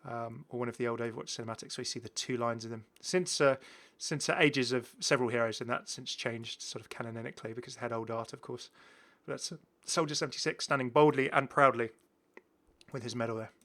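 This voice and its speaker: Give this a man with a bright, forward voice doing casual gaming commentary. He is chatty and rambles along, often doubling back to repeat a phrase. His pitch sits in the middle for a man. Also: fairly even intonation, fast. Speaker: gaming video host. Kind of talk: casual commentary on game cosmetics.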